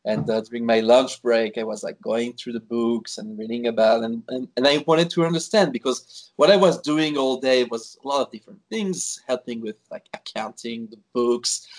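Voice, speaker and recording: Swiss-born man, guest in a casual interview, talky, halting with fillers. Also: mid-range voice, fairly even intonation, low-quality recording. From Switzerland. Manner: chatty, many fillers and restarts. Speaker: wine expert guest. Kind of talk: conversational interview.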